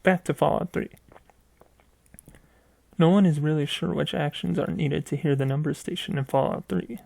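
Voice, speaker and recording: Halting male narrator with a creaky voice. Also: on the high side, darkish tone, dry recording. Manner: reads aloud haltingly, stumbling and repeating phrases. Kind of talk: scripted narration of a video game mystery story.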